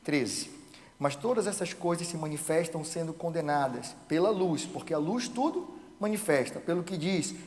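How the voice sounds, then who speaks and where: A man leading a church Bible study, explaining each point in measured steps and asking rhetorical questions. His voice sits a little high for a man, and it rings through a roomy, echoing church hall with a long tail. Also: expressive, darkish tone. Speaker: Sabbath school teacher. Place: church sanctuary.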